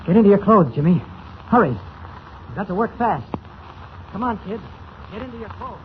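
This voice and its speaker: An actor playing a brisk, take-charge male newspaper reporter in a 1940s radio drama, with a clipped, commanding manner. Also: high-pitched, expressive. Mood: urgent. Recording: tinny, noisy old radio recording.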